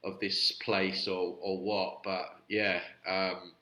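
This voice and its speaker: A man with a cold gives loose, rambling commentary full of fillers, his voice audibly nasal. His tone is bright and forceful over a low pitch. The room adds a noticeable echo. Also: flat delivery, takes it slowly, lo-fi sound. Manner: chatty, rambling, with many fillers. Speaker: YouTube channel host reviewing videos. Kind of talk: casual vlog commentary.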